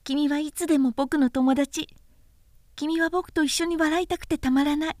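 A woman voicing a small boy prince from a storybook, gentle, wistful and tender, with soft childlike phrasing. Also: sits high, fairly even intonation, normal speed, no room sound, clean studio sound, close to an everyday voice. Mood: playful, tender. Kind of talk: storybook character voice in a literary recitation.

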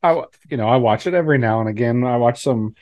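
A man co-hosting a casual podcast, relaxed and a little hedging in his answers. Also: medium pitch, fast talker, no echo, lo-fi sound. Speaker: podcast co-host.

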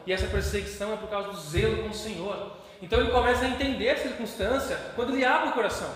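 A Brazilian man preaching a sermon with passion, moving between hushed and loud. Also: high voice. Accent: Brazilian Portuguese. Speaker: pastor. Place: church hall. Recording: echoey church hall with long reverb.

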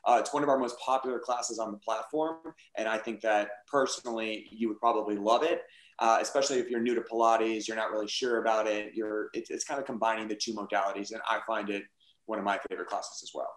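A man, a fitness studio founder, answering interview questions in a fast, energetic, salesy way. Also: reverberant, poor audio. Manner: fast-talking, energetic pitch.

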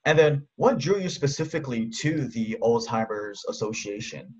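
A man's voice: mid-range voice, expressive, normal speed. A man hosting an interview, his first language Japanese, asking casual questions and answering with short, slangy, upbeat reactions. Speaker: interviewer, host. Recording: dull lo-fi sound.

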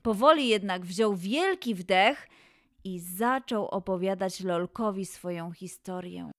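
A woman narrating a scripted children's story in an expressive, dramatic way. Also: mid-range voice, slow, dry recording. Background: music.

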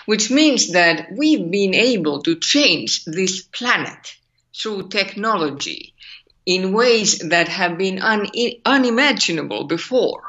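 A woman's voice: medium pitch, expressive, slow, a bit bright, muffled recording. A woman from Finland with a Finnish accent, an ethics scholar thinking aloud in an interview and asking rhetorical questions. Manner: thoughtful, searching, asks rhetorical questions. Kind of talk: interview over a call.

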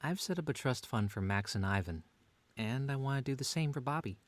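A man's voice: mid-range voice, a little fast, lightly acted. A man playing a long-suffering husband and father who banters drily in a scripted audio comedy drama. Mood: bemused. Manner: dry, deadpan, bantering.

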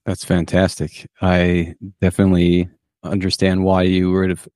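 Male podcast host, very low mellow voice, dry close sound, loose rambling delivery. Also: monotone, normal speed, no room sound. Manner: rambling, repeats himself, friendly. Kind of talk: casual interview chat.

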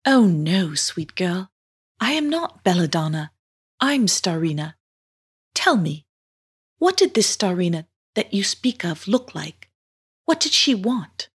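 Woman as a gentle, breathy storybook mermaid. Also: lowish voice, very animated, slow pace, heavily acted. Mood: gentle warning.

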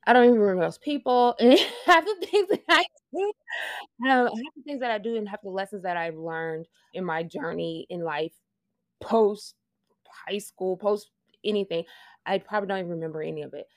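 A woman's voice: mid-range voice, normal speed, no echo, studio quality. A woman from Louisiana with a Louisiana Southern accent, a guest in a casual interview, talking earnestly and reflectively, with frequent laughs and restarted phrases. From Louisiana, USA. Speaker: interview guest, fashion project manager.

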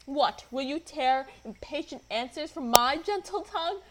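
A woman's voice: high-pitched, lively intonation, moderate pace, heavy background noise. A woman acting a timid, wronged young woman, plaintive and earnest, in a Shakespeare radio play. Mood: indignant.

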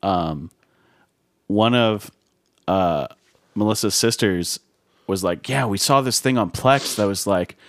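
Low-voiced, deadpan young man. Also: takes it slowly, long pauses, no echo, studio quality. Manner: deadpan, sarcastic, questioning. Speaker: guest co-host. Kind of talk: casual joking banter. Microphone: studio podcast mic.

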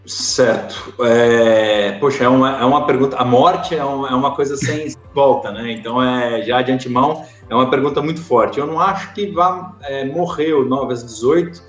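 A man's voice: medium pitch, moderate pace, few pauses. Man, Brazilian accent, hesitant casual talk, roomy sound, faint music behind. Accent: Brazilian Portuguese. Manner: hesitant, many fillers and restarts. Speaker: podcast guest. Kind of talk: casual interview chat.

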